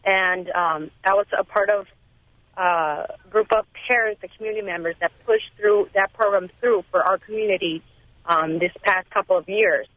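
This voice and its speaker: A woman's voice: medium pitch, fairly even intonation, moderate pace, dry recording. A woman whose first language is Spanish calls in to a radio show. She speaks hesitantly, with frequent ums. The phone line sounds thin and narrow. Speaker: caller.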